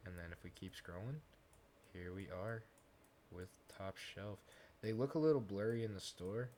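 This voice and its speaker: A man casually talking through a software tutorial step by step, in an informal way. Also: fairly low pitch, moderate pace. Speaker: tutorial host, gaming content creator. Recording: noisy home recording with keyboard clicks.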